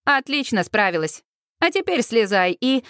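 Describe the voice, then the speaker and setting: A woman's voice: a little high, very animated, normal speed, fairly bright tone, no room sound, studio quality. A woman voicing a laid-back young male fairground handler in an audiobook, giving matter-of-fact instructions. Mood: encouraging.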